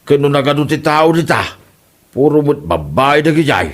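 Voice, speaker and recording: A man's voice: a little high, sing-song, moderate pace. A man playing a grumbling villager in a comedy drama, with an Ilocano Filipino accent. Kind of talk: amateur comedy radio-style drama.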